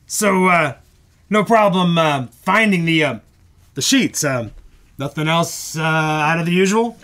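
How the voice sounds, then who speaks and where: A man playing a world-weary, avuncular radio station boss with a wry, halting delivery in an old-time radio drama. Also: a little high, animated, fairly bright tone. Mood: probing. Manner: wry, hesitant pauses, calls people kid.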